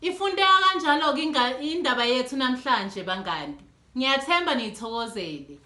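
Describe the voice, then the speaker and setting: A woman reading a children's storybook aloud in an animated way as host. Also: sits high, normal speed. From South Africa. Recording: echoey room.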